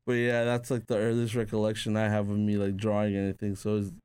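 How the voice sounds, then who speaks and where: A man born in Peru, talking as a tattoo artist guest in a loose, rambling way, leaning heavily on "like" as he jumps between memories. His voice sits low and sounds smooth and resonant. The recording is dry and close. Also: fairly even intonation, brisk, a little mellow, no room sound. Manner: rambling, filler-heavy with frequent "like". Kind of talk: casual conversational storytelling.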